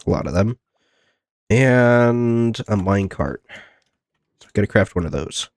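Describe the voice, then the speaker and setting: Low, stuffy-nosed man casually commentating. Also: expressive, unhurried, dry recording. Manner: rambling, talks to himself and game characters. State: getting over a sickness, plugged nose. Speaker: host of a gaming video.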